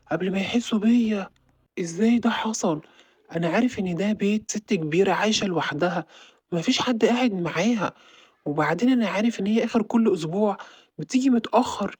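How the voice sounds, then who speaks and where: A woman voicing a sneaky, nervous male burglar creeping through a house, speaking low and fast. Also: darkish tone, husky, dry recording, close to an everyday voice.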